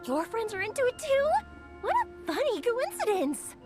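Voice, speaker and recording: A woman's voice: very high-pitched, very animated, normal speed. A woman voicing a cheerful young woman who rambles eagerly about her comic hobby. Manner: bubbly, rambling. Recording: game audio with faint music.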